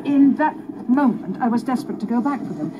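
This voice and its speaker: An actress playing a bitter, regretful middle-aged mother in a radio drama, formal and deliberate, heard through a noisy radio. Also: on the high side, animated, dull audio, natural voice. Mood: wistful.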